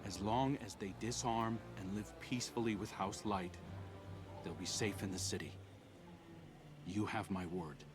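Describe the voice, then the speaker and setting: A man plays a steady commander, firm but diplomatic. His voice sits a little low and stays measured and authoritative. Music runs under the game dialogue. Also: natural voice. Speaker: military commander.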